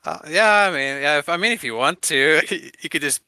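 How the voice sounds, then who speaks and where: A man's voice: on the high side, normal speed, bright, forceful tone, clear, resonant tone, dry recording, studio quality. A man co-hosting a podcast, chatting in an informal, rambling and jokey way. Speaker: podcast co-host. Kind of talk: casual podcast chat.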